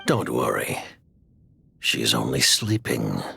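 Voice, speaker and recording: An actor playing a mysterious older commander in a video game, grave, formal and measured, with a very deep gravelly voice. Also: male voice, very animated, sharp tone, faint music behind, heavily acted. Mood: calm, grave. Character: mysterious, commanding older male director of a sinister order. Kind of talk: dramatic video game cutscene acting.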